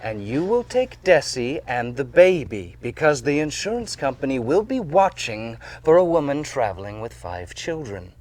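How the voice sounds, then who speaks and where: A man in his thirties playing a smooth, cold Victorian swindler and killer on stage, with deliberate, commanding theatrical delivery. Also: mid-range voice, very animated, a little mellow, lightly acted. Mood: controlling, calculating. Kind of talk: stage play acting. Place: theater stage with live audience.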